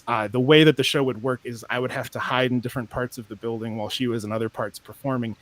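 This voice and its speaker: A man who works as a sound editor, telling a long story in casual podcast chat with many restarts. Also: mid-range voice.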